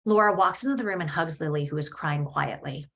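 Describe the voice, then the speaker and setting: Woman reading screenplay directions, low voice, steady. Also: fairly quick. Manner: steady, descriptive. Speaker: narrator reading stage directions. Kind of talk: table read of a screenplay.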